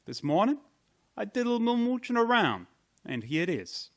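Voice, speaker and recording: A man's voice: sits high, sing-song, moderate pace. An actor playing a brash, slangy bodyguard and small-time chiseler in a radio mystery, with cocky wise-guy talk. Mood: sly, explaining.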